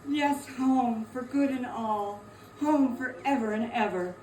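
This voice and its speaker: A woman's voice: on the high side, slow pace, darkish tone. A woman playing an affectionate, eager little girl in a dramatic stage reading, speaking earnestly. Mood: tender, joyful. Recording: echoey room, faint noise.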